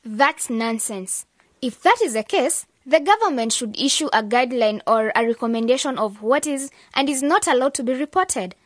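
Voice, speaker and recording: Young female student, eager and outspoken, high-pitched, for educational radio drama. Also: expressive, moderate pace, no room sound, clean studio sound. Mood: indignant.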